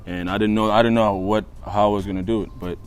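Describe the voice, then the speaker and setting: A man's voice: fairly low pitch, fairly even intonation, fast. A man in his twenties who learned English as a second language, telling his story casually as an interview guest, often repeating phrases for emphasis. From East Africa and Australia. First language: not English, learned it after moving to Australia. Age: 20s. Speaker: interview guest, college basketball player. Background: background music.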